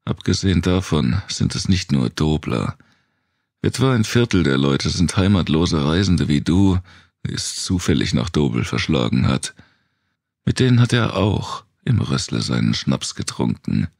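A man reads the part of a tough woman survivor in a dark audiobook, blunt and wary. The voice is low for her, with a gravelly edge, raised somewhat above the narration. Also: very animated, unhurried, dry recording, clean studio sound, full character voice. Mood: insistent.

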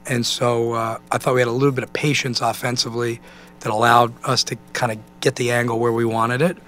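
American coach, halting and thoughtful. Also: male voice, medium pitch, level tone, a bit bright, slight background noise. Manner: halting, thinking aloud, peppered with 'you know'. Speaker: basketball coach. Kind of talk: press conference answers, conversational. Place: indoor press conference room. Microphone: microphone on a stand at a table.

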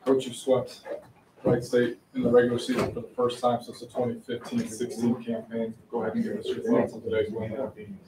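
A man moderating a press conference in a brisk, matter-of-fact way. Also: medium pitch, monotone, a crowd in the background. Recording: echoey room, distant mic.

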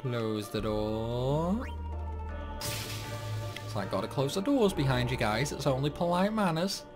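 Slow, rambling man doing game commentary. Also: medium pitch, lively intonation. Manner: rambling, grumbling asides. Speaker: gaming video host. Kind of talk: casual game playthrough commentary. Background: game music.

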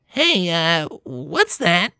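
A man's voice: high voice, huge pitch swings, extremely slow, pushed and bright, clean tone, no echo, studio quality, pitched above the performer's own voice, full character voice. A man voicing a brash, cocky young female cartoon pony. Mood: curious.

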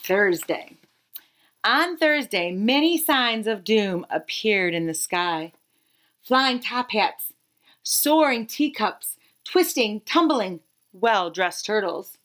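A woman in her thirties reading a children's picture book aloud expressively, with dramatic pauses and emphasis. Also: mid-range voice, lively intonation, a bit bright. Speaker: read-aloud storyteller.

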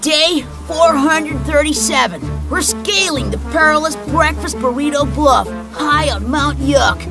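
An actor voicing an excitable, reckless pizza-slice mountaineer in a cartoon, melodramatic and full of exclamations. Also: high voice, measured pace, vocal fry, loud music behind the voice, low-quality recording. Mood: grandly dramatic. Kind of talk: cartoon character acting.

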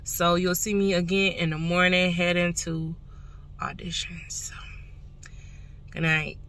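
Young female vlogger, bright forward voice, chatty and folksy, talking casually to a phone in a car. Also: lowish voice, little pitch movement, measured pace. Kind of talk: casual vlog chat to camera. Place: inside a parked car. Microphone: phone at arm's length.

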